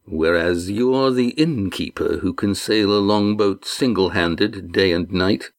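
A man's voice: sits low, takes it slowly. A male narrator voicing a proud, injured sailing master who talks in clipped, curt replies. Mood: terse.

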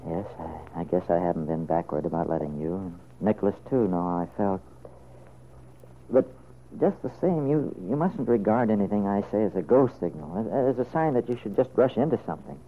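An actor playing an anxious, tender middle-aged father in an old-time radio serial, speaking in a halting, earnest way. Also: lowish voice, lively intonation. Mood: hesitant, gentle. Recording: muffled, noisy vintage radio recording.

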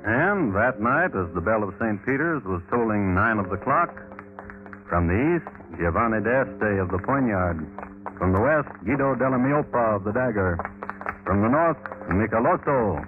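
Low-voiced, measured male radio narrator. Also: expressive. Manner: measured, wry, literary. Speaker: host and narrator. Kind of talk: scripted 1950s radio crime narration. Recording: muffled old radio recording with music. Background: music.

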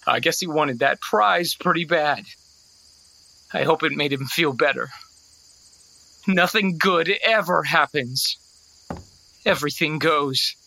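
Young country boy: impassioned, heartbroken, halting delivery, bright forceful tone, audio drama. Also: low-pitched, creaky, heavily acted, rough recording. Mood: bitter, defeated. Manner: stammering, impassioned.

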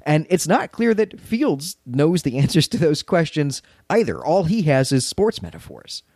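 Man recapping a story with lively intonation. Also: a little high, normal speed, no room sound, studio quality. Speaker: podcast host. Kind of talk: conversational literary recap and commentary.

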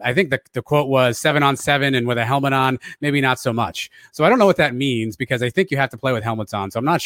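A man with a raspy voice and an American accent, giving casual sports analysis as a podcast co-host. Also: medium pitch, a bit bright, no room sound.

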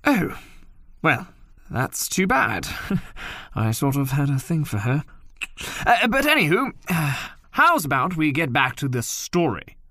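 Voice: medium pitch, sing-song, takes it slowly, long pauses, bright, forceful tone, no room sound, clean studio sound, full character voice. An actor playing a practical, fussy co-narrator, hesitant and matter-of-fact, in scripted audio comedy banter. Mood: awkward.

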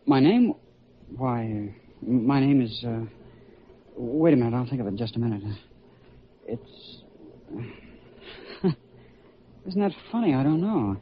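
A bewildered young man, played by a male actor, in a vintage radio play. His speech is halting and lost, with big pitch swings, under the dull sound and faint noise of an old broadcast. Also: expressive, lightly acted. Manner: halting, confused. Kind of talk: classic American radio drama acting. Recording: muffled, noisy old radio recording.

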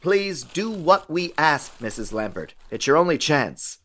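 A man's voice: fairly high pitch, sing-song, a little slow, a bit bright, full character voice. An actor playing a fussy, dry middle-aged American intelligence official in a radio play, chatty and officious in his talk. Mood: insistent. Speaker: actor in audio drama.

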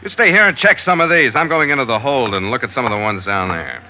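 A hard-boiled, wry sea captain acted by a man from an old radio drama. His talk is slangy and clipped, with pitch that swings widely. The recording is dull and lo-fi. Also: lively intonation, quick pace, slight background noise, close to an everyday voice. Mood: wary, dry.

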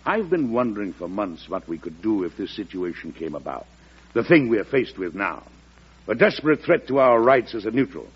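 A man's voice: lowish voice, breathy edge, exaggerated voice. An American man acting an earnest, weary President pleading for peace in an old-time radio drama, speaking with oratorical emphasis. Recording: dull, lo-fi vintage radio recording.

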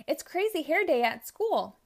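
A woman reading a storybook aloud, voicing an excited little girl who loves pink. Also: unhurried, gravelly, exaggerated voice. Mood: eager. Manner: eager and high-spirited. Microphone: close studio-style mic, audio only.

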